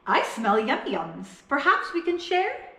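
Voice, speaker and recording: A woman's voice: high-pitched, huge pitch swings, takes it slowly, sharp tone, reverberant, voice pushed up, exaggerated voice. A woman voicing a friendly, eager, food-loving storybook badger with a coaxing manner.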